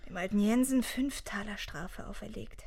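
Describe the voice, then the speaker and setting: An actress in a German radio play as an earnest young village woman, devoted but worried, with a husky, breathy voice. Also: medium pitch, moderate pace, darkish tone. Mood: gently reproachful.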